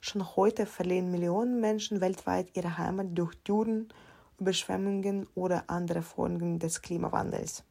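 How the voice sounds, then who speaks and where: A woman narrating a report in a measured, informative, scripted way. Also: on the low side, steady tone, creaky, dark tone.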